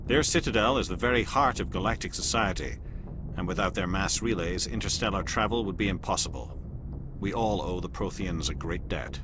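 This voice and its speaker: An actor playing a shrewd alien elite agent in a video game, speaking in a formal, deliberate, coolly superior way. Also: male voice, sits low, noisy background, lightly acted. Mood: grave, explaining. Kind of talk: video game dialogue acting.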